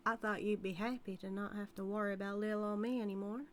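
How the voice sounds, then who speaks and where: A woman's voice: mid-range voice, slight background noise, close to an everyday voice. A woman playing a flirty, dominant outlaw cowgirl with a Southern US accent in a scripted audio roleplay, drawling and teasing. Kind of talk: scripted audio roleplay, intimate character acting.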